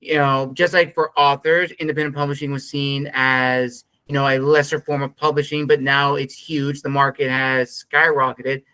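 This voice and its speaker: Guy hosting a podcast, bright clear voice, kinda rambly earnest questions, dry mic. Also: mid-range voice, flat delivery, normal speed, hardly any pauses, no room sound. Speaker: podcast host interviewing a narrator. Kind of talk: conversational interview hosting.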